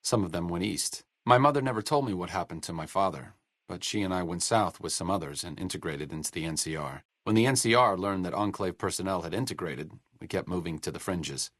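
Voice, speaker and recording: An actor playing a bookish, idealistic doctor in a video game, speaking in an articulate, sardonic and understated way. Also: male voice, low-pitched, animated, a little fast, a bit bright, dry recording, studio quality. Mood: reflective, serious. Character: dry-witted, bookish male doctor and researcher, idealistic and self-deprecating. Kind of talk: video game dialogue acting.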